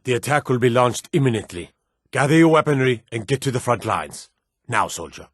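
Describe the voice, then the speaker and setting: An actor playing a stern, battle-hardened soldier in a fantasy war video game, with earnest, commanding, formal delivery. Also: male voice, medium pitch, expressive, brisk, fairly bright tone, breathy edge, no echo, clean studio sound. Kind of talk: scripted video game voice acting.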